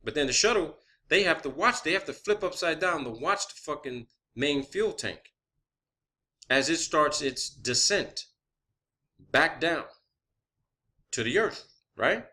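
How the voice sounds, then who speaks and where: A man's voice: on the high side, animated, unhurried, long pauses, pushed and bright. A man delivering a rambling, opinionated video monologue, swearing heavily, skeptical and insistent, repeating phrases for emphasis. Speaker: online video host.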